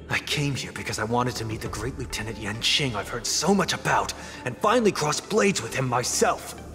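A man's voice: medium pitch, animated, normal speed, close to an everyday voice. A man playing a proud, indignant veteran swordsman in a video game, speaking in a formal, pompous manner. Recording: long reverb, music behind. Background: music.

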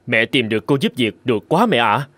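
A man's voice: medium pitch, big pitch swings, brisk, a bit bright, dry recording, clean studio sound. A man voicing a supportive, agreeable young husband and father in a cartoon, speaking respectfully. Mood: pleased. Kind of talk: cartoon dubbing.

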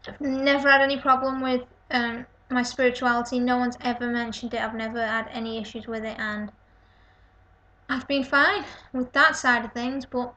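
A woman's voice: a little high, fairly even intonation, moderate pace, sharp tone, poor audio. A woman in her twenties with a Lancashire-type northern English accent, tired and rambling in a casual webcam video response from her kitchen. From North West England. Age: 20s. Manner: rambling, self-deprecating. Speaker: vlogger. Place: kitchen at home, indoors. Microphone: webcam built-in mic.

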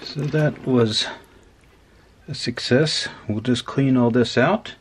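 A man casually talking through a hands-on repair as host, unhurried and dryly humorous. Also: medium pitch. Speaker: host of an electronics repair video. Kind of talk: casual hands-on demonstration commentary. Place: indoor workbench. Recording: clear background noise.

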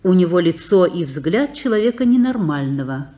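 A woman reading stage directions for a radio play in an even, measured way. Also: on the low side. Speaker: narrator.

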